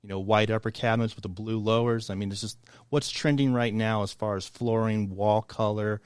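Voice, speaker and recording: A man's voice: fairly low pitch, level tone, brisk, clean tone, no echo, clean studio sound. A man who builds custom homes, a guest on a radio show, giving short agreeable answers and plain explanations.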